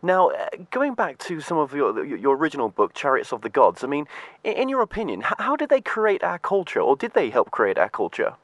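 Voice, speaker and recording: Lively-voiced male radio interviewer. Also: fairly high pitch, a little fast, dry recording. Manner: questioning, casual with fillers and restarts. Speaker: interviewer host.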